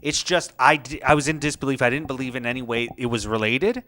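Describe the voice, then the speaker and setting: A man co-hosts a casual comedy chat, agreeing readily and telling stories in a relaxed way. His voice is bright and smooth, at an ordinary pitch for a man. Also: fast talker. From Canada. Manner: agreeable, lots of "yeah, yeah, yeah", storytelling. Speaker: podcast co-host.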